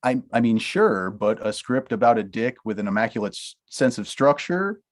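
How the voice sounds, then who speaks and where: A man's voice: mid-range voice, lively intonation, moderate pace. A man reads a script at a table read. His delivery is eager and teasing, and he trips over his words as he pushes ahead. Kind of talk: script table read, playing a nosy friend.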